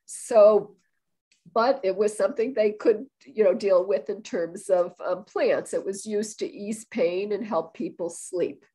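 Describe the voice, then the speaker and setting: A woman's voice: mid-range voice, measured pace, mellow, slightly rough. An older woman with a cold, sounding a little nasal, giving a chatty, rambling talk over a video call from a room at home, with frequent ums and restarts. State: has a cold. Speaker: guest historian. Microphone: video call webcam.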